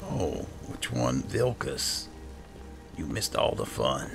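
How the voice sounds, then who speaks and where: Very deep, gravelly man playing a game. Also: very animated, slow, music in the background. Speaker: gamer, roleplaying host. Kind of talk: casual video game roleplay commentary.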